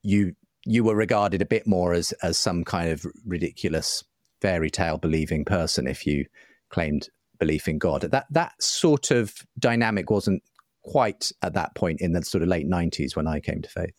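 A middle-aged man with a low voice speaks as an interview guest. He explains things reflectively and earnestly, in articulate, discursive sentences, and the sound is dry and close. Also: lively intonation, moderate pace, no echo. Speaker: interview guest, writer and broadcaster.

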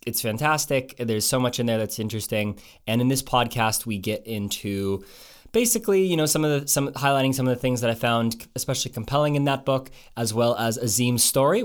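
A man hosts and introduces his podcast in a relaxed, rambling way, stopping to restart his sentences. His voice sits at an ordinary middle pitch. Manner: loose and chatty, with fillers and restarts. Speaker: podcast host. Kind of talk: conversational podcast intro and interview.

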